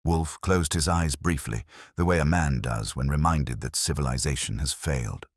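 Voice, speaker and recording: Very deep, dramatic male storyteller. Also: moderate pace, no echo, studio quality. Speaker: narrator and host of a mystery story channel. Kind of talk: scripted detective story narration.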